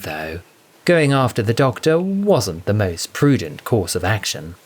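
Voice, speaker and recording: A man narrating a scripted audio story in an expressive, arch, dramatic style. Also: sits low, slight background noise. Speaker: narrator and voice performer.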